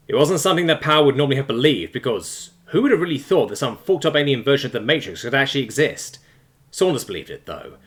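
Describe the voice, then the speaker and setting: A man reading a science fiction story aloud as a scripted, expressive audiobook narration. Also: medium pitch.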